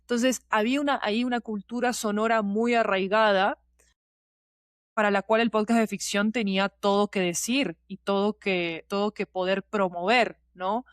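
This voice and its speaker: A woman's voice: medium pitch, steady tone, normal speed, dry recording, clean studio sound. A woman explaining things in casual, digressive conversation and often checking in with her listener. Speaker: podcast guest, producer and teacher.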